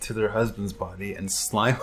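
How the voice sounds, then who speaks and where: A man's voice: on the low side, steady tone, moderate pace, fairly bright tone, soft background music. A man reading aloud casually and comedically, breaking off into joking asides as he goes. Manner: joking, riffing asides between read passages. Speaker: co-host reading aloud and commenting.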